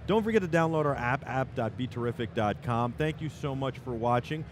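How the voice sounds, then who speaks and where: A man's voice: medium pitch, lively intonation, brisk. A man hosting a live tech show, upbeat and promotional, interviewing a guest. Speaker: show host. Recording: noisy trade show floor.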